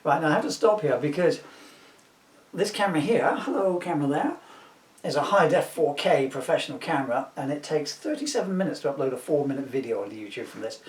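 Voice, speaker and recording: A man's voice: on the high side, lively intonation, fairly quick. A middle-aged man chatting informally and reading from his own children's book, with jokey, rambling asides. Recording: roomy echo, distant microphone.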